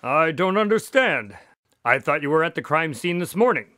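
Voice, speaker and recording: An actor playing a courteous, curious doctor and detective's sidekick in a radio drama, with a polite manner. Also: male voice, on the high side, big pitch swings, normal speed, pushed and bright, no echo, clean studio sound. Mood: concerned, curious.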